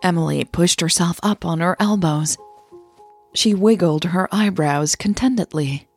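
A native Dutch-speaking woman with a husky voice, narrating a scripted podcast in a measured way. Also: on the low side, faint music behind. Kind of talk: scripted audio story narration.

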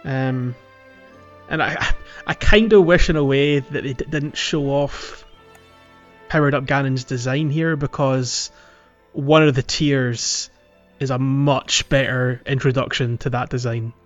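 A man's voice: mid-range voice, fairly even intonation, measured pace. A man co-hosting a podcast, chatting about a video game in a rambling, hesitant, conversational way. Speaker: podcast co-host. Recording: dull lo-fi microphone, faint music.

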